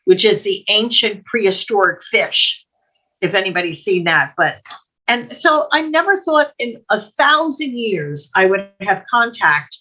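A woman's voice: mid-range voice, animated, measured pace, sharp tone, rough recording. An older woman telling anecdotes casually on a video call, chatty and digressive. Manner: chatty, digressive, quotes people as she tells stories. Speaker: guest storyteller. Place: home room, indoors.

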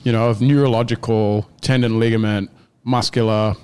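A man's voice: fairly low pitch, little pitch movement, moderate pace, warm tone, clear, resonant tone. A man co-hosting a casual gym podcast, rambling and often restarting as he searches for words. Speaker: gym owner and podcast co-host.

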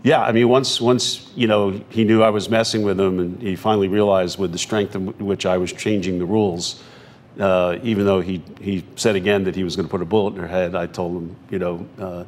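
A man in his sixties, a talk show guest, recounting his own story in halting phrases with repeated words. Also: sits low, steady tone, a little hiss or hum. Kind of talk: recounting a personal story in conversation. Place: TV studio.

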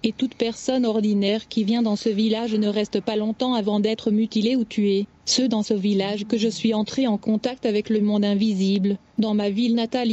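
Woman reading a testimony, mellow, dull sound. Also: medium pitch. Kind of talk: scripted first-person narration. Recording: dull lo-fi audio.

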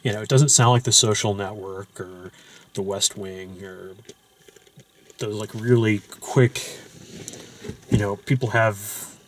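Low-voiced man rambling about a movie. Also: fairly even intonation, takes it slowly, long pauses, a little hiss or hum. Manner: loose and rambling, with frequent fillers and restarts. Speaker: movie discussion host. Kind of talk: casual film discussion. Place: parked car at night.

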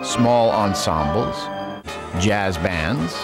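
A man's voice: on the low side, expressive, extremely slow, loud music behind the voice. An American man reading scripted documentary narration.

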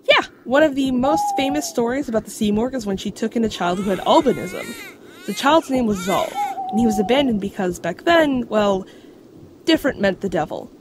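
A woman acts a knowledgeable, self-assured mythology guide in an audio drama. Her fairly high voice is very expressive and a touch formal, with faint ambience behind it. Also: fairly quick, noisy background, natural voice. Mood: informative, keen. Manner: explanatory, slightly formal.

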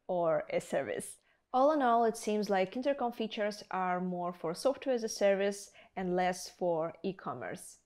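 Female presenter, young adult, mid-range pitch, for scripted promotional review addressed directly to viewers. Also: a little mellow. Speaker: video presenter. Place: indoor studio with plain backdrop.

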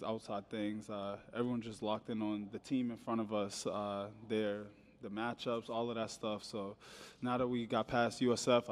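A young man, a college basketball player, casually answering a question at a post-game press conference. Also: fairly low pitch. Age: young adult. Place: press conference room at a table. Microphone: tabletop microphone.

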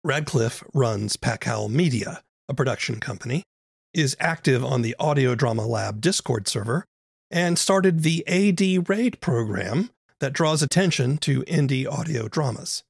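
A man presents an interview podcast in a gravelly, husky voice. He speaks as a host, partly scripted and partly conversational, recorded close and dry. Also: mid-range voice, animated, unhurried, no room sound.

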